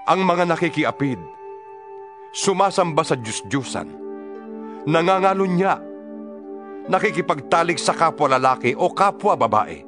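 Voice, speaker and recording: Male scripture reader, fairly high voice, earnest and exhorting, music bed underneath. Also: animated, a little slow, long pauses. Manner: earnest, preaching, rhetorical questions. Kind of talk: dramatized scripture reading. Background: background music.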